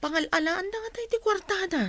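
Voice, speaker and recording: A woman's voice: extremely high pitch, fast talker, fairly bright tone. A woman with an Ilocano accent acting as a nosy, envious village wife in a radio comedy drama.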